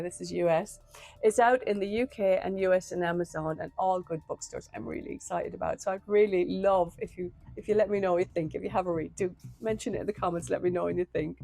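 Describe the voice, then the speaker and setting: A hoarse middle-aged woman with a mellow, warm voice breaks down a crime case for her viewers. She speaks in a measured, earnest way, as a former detective speaking straight to the camera. Faint music plays softly underneath. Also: mid-range voice, no echo. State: hoarse from a cold. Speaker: former detective, true crime channel host.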